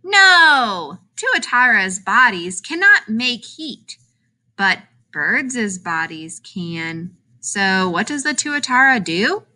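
A woman reads a picture book aloud for young children in a high, bright voice. Her delivery is very animated, swooping up and down, with emphatic questions and answers. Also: slow pace. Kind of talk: expressive children's read-aloud narration.

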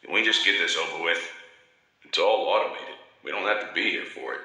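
Tired technician, low and very bright voice, casual grumbling, impatient, game character. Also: male voice, lively intonation, fairly quick, exaggerated voice. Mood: weary, impatient. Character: weary, impatient technician hauling broken machines. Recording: very echoey game audio.

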